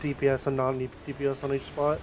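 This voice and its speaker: A man's voice: medium pitch, completely flat delivery, a little fast, noisy background. A man on a gaming team, giving casual callouts and commentary during play. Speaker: gaming teammate.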